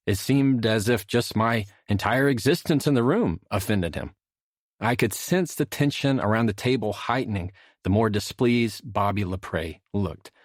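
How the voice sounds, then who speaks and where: A middle-aged man reading his own nonfiction audiobook as a measured, expressive storyteller. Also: fairly low pitch, no echo, clean studio sound. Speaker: author narrating own audiobook. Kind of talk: scripted audiobook narration, storytelling.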